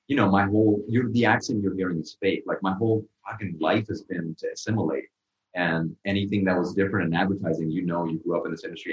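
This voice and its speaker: A young man with a low voice talks in a loose, rambling way, restarting sentences and leaning on "like." His voice sits in an echoey room, and the recording sounds a bit muffled. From Pakistan. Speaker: guest. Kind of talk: casual interview conversation.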